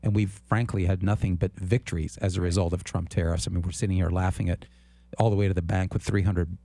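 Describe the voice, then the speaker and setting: A man co-hosting a political talk show, speaking in an animated, indignant way and laughing often. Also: low voice, fast, dry recording. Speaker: co-host.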